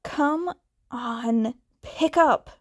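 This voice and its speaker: A woman voicing a tired, gruff, worried man with terse speech in a story reading. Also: a little high, extremely slow, dry recording, studio quality. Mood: urgent.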